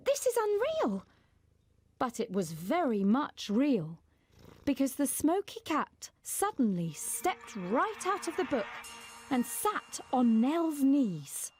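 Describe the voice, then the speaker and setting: Expressive woman narrator, slow and higher-pitched. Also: breathy edge. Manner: expressive, acts out dialogue. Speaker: storyteller. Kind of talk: scripted children's story narration.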